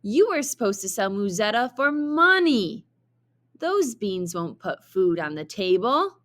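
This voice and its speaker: A woman's voice: medium pitch, sing-song, unhurried, fairly bright tone. An exasperated storybook mother acted out by a woman reader. She scolds emphatically, stressing her words as a fed-up parent would. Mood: outraged.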